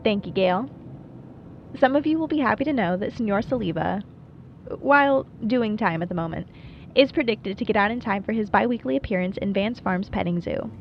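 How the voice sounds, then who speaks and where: A woman's voice: medium pitch, fairly quick, muffled recording, slight background noise, close to an everyday voice. A woman playing a wry, playful small-town late-night radio host in a scripted monologue, chatty and dryly self-deprecating. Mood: wry, breezy. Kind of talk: scripted radio show monologue, audio roleplay.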